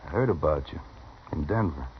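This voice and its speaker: An actor playing a cold, proud western gunslinger with an unhurried, menacing, matter-of-fact manner in a radio drama. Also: male voice, a bass voice, expressive. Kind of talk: old-time radio western acting. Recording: dull, noisy vintage radio recording.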